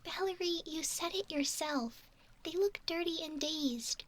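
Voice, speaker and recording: A woman voicing a gentle, curious young mermaid in a soft, often whispery ASMR roleplay, soothing and polite. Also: extremely high pitch, a little slow.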